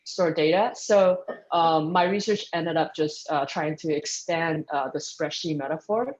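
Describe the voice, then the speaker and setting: A speaker answers interview questions at a mid pitch, thinking aloud with frequent ums and restarted sentences. The audio sounds dull and lo-fi. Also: a little slow. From Taiwan. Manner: thoughtful, lots of ums and restarts. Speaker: guest speaker, engineering manager.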